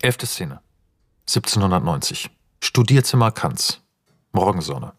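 A man's voice: low voice, very animated, unhurried, a bit bright, no echo. A man narrating scenes and stage directions for a radio play in a precise, matter-of-fact way.

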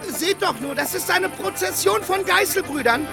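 A man's voice: very high voice, animated, moderate pace, creaky, over music, exaggerated voice. An actor playing a loyal, simple squire in a radio play, anxious and effusive in his pleading. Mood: alarmed.

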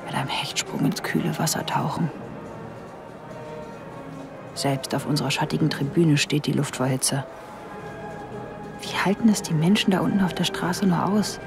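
An actor in a radio drama playing an observant engineer who narrates inner thoughts in hushed, clipped phrases. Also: male voice, on the high side, moderate pace, gravelly, pauses often, over music. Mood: wry, weary.

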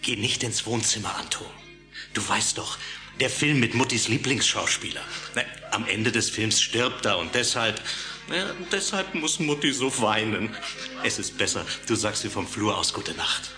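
A man playing a kindly middle-aged father in a German audio drama, speaking in a hushed, confidential way. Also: on the low side, lively intonation, normal speed, over music, lightly acted. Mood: confiding, hushed.

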